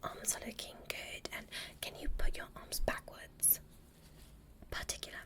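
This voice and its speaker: A woman voicing a calm, curious alien doctor in an ASMR roleplay, speaking in a gentle, breathy whisper with an unhurried, formal manner. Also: lightly acted. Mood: curious, clinical. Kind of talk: ASMR medical exam roleplay.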